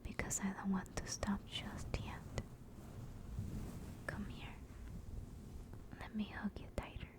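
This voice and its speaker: A woman playing a gentle, affectionate sleep paralysis demon in a soothing, reassuring whispered ASMR comfort roleplay. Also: mid-range voice, monotone, close to an everyday voice. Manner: soft, slow, soothing, reassuring.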